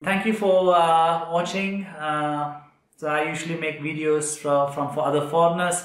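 A man's voice: a little high, steady tone, moderate pace, fairly bright tone. A man in his thirties from India, with an Indian accent, talking to the camera in a kitchen with many fillers and restarts. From India, Kerala. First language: Malayalam. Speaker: vlogger. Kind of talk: casual talk to camera. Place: indoors, small kitchen room. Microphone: camera at a short distance. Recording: echoey room.

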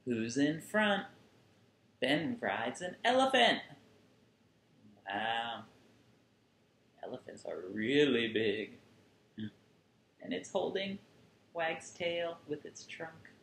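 A man in his thirties reads a children's story at a slow, careful pace, stretching words for effect. His voice has some creak in it. The recording sounds roomy, like a furnished room at home. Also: mid-range voice, very animated, a bit bright. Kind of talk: animated picture-book read-aloud for young children. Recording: roomy sound with faint background noise.

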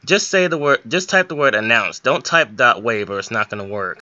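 A man's voice: mid-range voice, lively intonation, moderate pace, pushed and bright, no echo, muffled recording. A young man giving an informal, step-by-step screen tutorial with a somewhat hesitant way of explaining. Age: young adult. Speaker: hobbyist game modder giving a tutorial.

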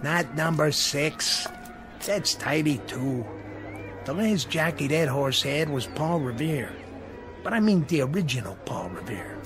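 An actor playing a shady racetrack tout in a radio drama, hustling and wheedling in a confidential way. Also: male voice, on the high side, lively intonation, measured pace. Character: shady, fast-talking male racetrack tout. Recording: radio drama with music underneath.

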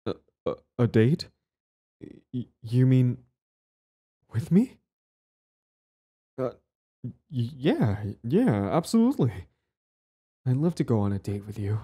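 Man acting out a flustered lovestruck boyfriend type, very dark tone. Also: medium pitch, big pitch swings, slow, pauses often, husky, heavily acted. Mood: nervous, eager. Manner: stammering, sighing, hesitant. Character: lovestruck, clingy young male bully, flustered and pleading. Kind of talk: intimate romantic roleplay audio.

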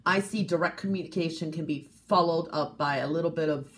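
Female fortune reader, lower register, congested and sniffly, gentle check-ins, faint room hiss. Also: brisk. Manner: gentle, repeats "okay?" and "um". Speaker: coffee cup reader. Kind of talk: casual tarot-style reading to camera.

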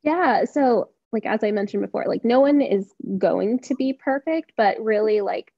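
A woman's voice: on the high side, normal speed, creaky, dry recording. A woman in her thirties speaking casually as a panelist, recorded through dull video call audio. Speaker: expert panelist, small business founder. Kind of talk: casual, conversational panel talk. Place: indoor room on a video call.

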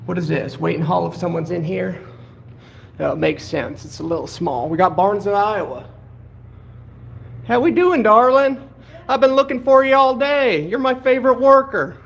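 A meddling old grandma, as a man puts her on, sweet-talking everyone as darling and calling herself Grandma. The voice sits high for a man and crackles with gravelly fry. It is caught on a handheld phone inside a public building. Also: animated, moderate pace, fairly bright tone, full character voice. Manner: syrupy, calls everyone darling, talks of self as Grandma. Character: meddling sugary old grandma, elderly woman. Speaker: livestreamer filming public buildings. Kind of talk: trolling livestream banter. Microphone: handheld phone camera.

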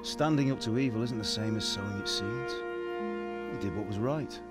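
A man's voice: lowish voice, moderate pace, loud music behind the voice, lightly acted. A man voicing a reassuring medieval father. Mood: tender. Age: middle-aged. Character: warm, steady medieval blacksmith father.

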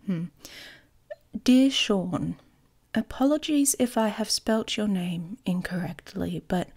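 A woman playing a smug, playful young werewolf pack leader in an intimate ASMR romance roleplay, teasing and often slipping into a breathy whisper. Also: fairly low pitch, darkish tone, exaggerated voice.

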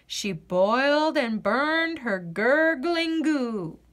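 A woman reading a children's picture book aloud in an expressive, sing-song storyteller's delivery. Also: high-pitched, drawn-out words, slight background noise.

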